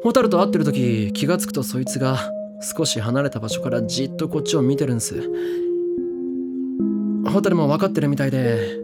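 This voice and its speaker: A man's voice: medium pitch, animated, normal speed, close to an everyday voice. An actor in a drama CD playing a reckless, thrill-seeking young man who talks in slangy, clipped casual speech. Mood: uneasy. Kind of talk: drama CD acting. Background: background music.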